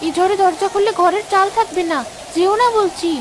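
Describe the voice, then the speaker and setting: A woman voicing a fretful village wife and anxious mother in an expressive cartoon drama. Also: extremely high pitch, a little fast, background noise. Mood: fearful, scolding.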